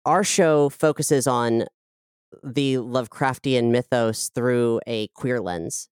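A woman speaks as an interview guest in a smooth, low-set voice. She explains things carefully and reflectively, recorded close and dry. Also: slow, a little mellow, dry recording. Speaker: interview guest, podcast creator. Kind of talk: thoughtful interview talk.

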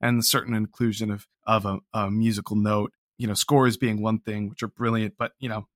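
A man speaking as an interview guest about his writing, earnest and self-deprecating, in a reflective, casual and halting way. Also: lowish voice, little pitch movement, no echo, studio quality. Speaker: interview guest, audio drama writer.

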